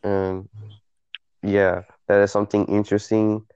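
A man in a casual podcast chat, halting and searching for his words. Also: on the low side, level tone, darkish tone, smooth, muffled recording.

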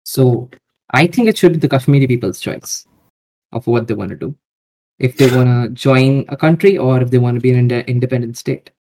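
A man answers interview questions in a hesitant, hedging way, often restarting with "I mean". His voice is mellow and warm, at a middle pitch. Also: a little fast. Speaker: podcast guest.

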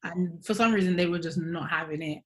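A woman in her twenties from Angola, a podcast guest talking in an easygoing way, her voice trailing off softly at phrase ends. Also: lowish voice, brisk, background noise. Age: 20s. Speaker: guest, gym franchise owner. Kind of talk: relaxed interview conversation.

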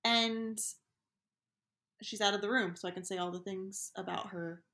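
A woman's voice: mid-range voice, fairly even intonation, normal speed, bright, forceful tone. Woman host in an echoey room. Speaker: podcast host. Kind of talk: casual chat.

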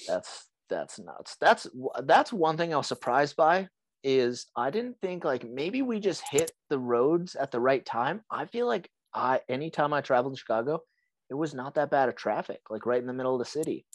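A young man with a raspy, hoarse voice from being sick, hosting a casual podcast chat and rambling with lots of "like" and restarts. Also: mid-range voice, very animated, moderate pace, no echo. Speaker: podcast host.

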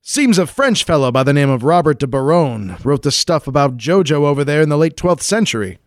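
A man's voice: fairly high pitch, very animated, fairly quick, no echo, studio quality, voice pushed down. A man playing a jaunty, pun-loving time-travelling investigator with old-time patter in a 1940s-style radio play. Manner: old-time adventurer patter, calling others "pal" and "friend".

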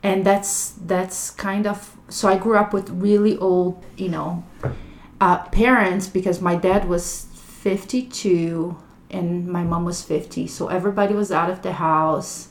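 Brazilian-accented woman telling her story, lowish voice. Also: steady tone. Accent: Brazilian Portuguese. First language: Portuguese. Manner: thoughtful, unhurried, with restarts. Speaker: guest, clinical social worker. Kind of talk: reflective personal storytelling. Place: living room of a home. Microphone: table microphone.

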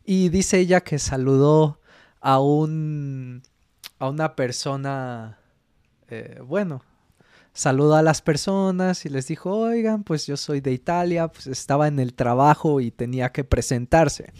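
A young Mexican man who co-hosts a podcast and speaks in a Mexican accent, telling stories in a relaxed way and acting out the people he quotes. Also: on the high side, a little slow, clean tone, no echo, studio quality. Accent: Mexican Spanish. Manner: chatty storyteller, acts out quoted voices, says "mano" a lot. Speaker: podcast co-host. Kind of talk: casual chat.

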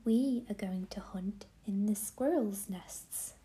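A woman's voice: medium pitch, takes it slowly, warm tone, lightly acted. A woman voicing a band of eager, frolicking elves in a whisper.

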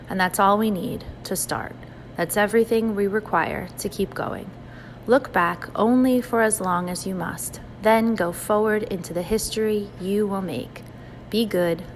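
A woman's voice: mid-range voice, a little hiss or hum, poor audio. A woman reading a poem aloud in a recitation style. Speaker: poetry reader.

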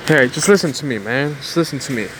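A man's voice: medium pitch, expressive, quick pace, noisy background. A nineteen-year-old man vlogging casually and rambling through a repetitive motivational pep-talk outdoors. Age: young adult. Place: outdoors in the cold.

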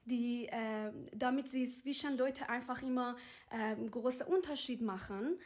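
A woman in her thirties who grew up in Iran and is not a German speaker, speaking haltingly with many fillers as an interview guest. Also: a little high. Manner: hesitant, searching for words with many fillers. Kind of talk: conversational interview.

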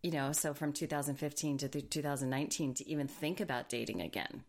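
A woman's voice: low voice, fast. A woman hosting a podcast interview, chatting casually, prompting her guest with short affirmations and questions. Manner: brief affirmations, leads questions. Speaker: podcast host.

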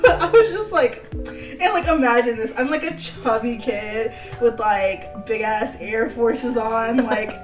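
Chatty giggly young woman, slightly high voice. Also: a little slow, far from the mic, music in the background, rough recording. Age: 20s. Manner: chatty, giggly, filler-heavy. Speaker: podcast host. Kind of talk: casual chat.

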